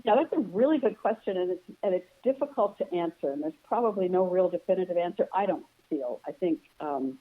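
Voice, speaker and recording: A woman's voice: on the low side, expressive. Thoughtful woman biographer on a phone line. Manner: measured, reflective, with frequent ums and restarts. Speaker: biographer and author guest. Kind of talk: thoughtful interview answers. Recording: phone-like narrow sound.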